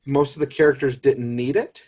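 A man's voice: medium pitch, steady tone, brisk, a little mellow, slightly rough. A man co-hosting a podcast in casual chat, with a conversational, playfully wry way of talking. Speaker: podcast co-host.

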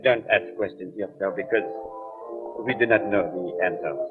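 An actor playing a discreet nightclub waiter in a 1940s radio drama, formal, careful and deferential. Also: male voice, on the low side, moderate pace, natural voice. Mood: deferential, guarded. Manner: formal, careful, uncontracted phrasing. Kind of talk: 1940s radio character acting. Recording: tinny vintage radio recording, music behind.

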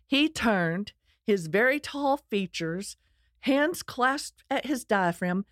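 A woman's voice: on the high side, animated, takes it slowly, no echo, clean studio sound. A middle-aged woman with a Texan accent telling her personal story in a candid, earnest way, with touches of self-deprecating humor. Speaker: speaker sharing her life story.

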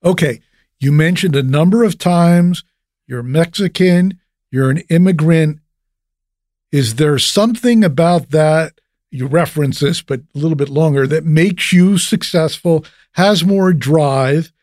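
Blunt male host with a higher voice. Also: a little mellow, no echo, clean studio sound. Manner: blunt, quick-fire questions. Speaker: interview host. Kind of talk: podcast interview.

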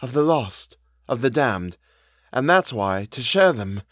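Man as intense, resolute governess, animated delivery. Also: medium pitch, a little slow, no room sound, studio quality. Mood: horrified. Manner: insistent, decisive. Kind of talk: audiobook character dialogue.

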